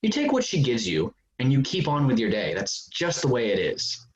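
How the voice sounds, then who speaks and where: A man's voice: mid-range voice, wildly swooping pitch, moderate pace. A man playing a smug, defensive amateur novelist in a staged play reading. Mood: defensive, earnest. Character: smug, self-justifying male amateur novelist, defensive about his sleazy book. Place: remote virtual staged reading. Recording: dull, noisy online call audio.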